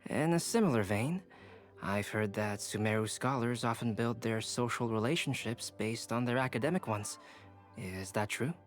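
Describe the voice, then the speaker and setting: A man playing a calm, analytical young alchemist in a game cutscene, polite and formal. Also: mid-range voice, normal speed, faint music behind, lightly acted. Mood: curious, composed. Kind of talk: video game cutscene acting.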